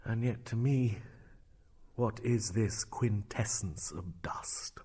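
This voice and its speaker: An actor's brooding, theatrical young prince. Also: male voice, on the low side, takes it slowly, breathy edge, full character voice. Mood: melancholy, wry. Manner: theatrical, swinging between hushed and exclaiming. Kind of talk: Shakespearean dramatic reading.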